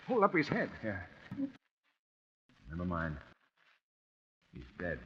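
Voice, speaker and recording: A man acting in a 1940s radio drama as an excitable, alarmed accuser, urgent and exclamatory. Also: low voice, takes it slowly, slightly rough, full character voice. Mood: alarmed, accusing. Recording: muffled, noisy 1940s radio recording.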